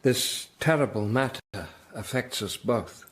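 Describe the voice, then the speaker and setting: An actor playing a grave, devout lord archbishop in a radio drama, speaking with formal authority. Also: male voice, mid-range voice, unhurried, lightly acted. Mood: grave, commanding.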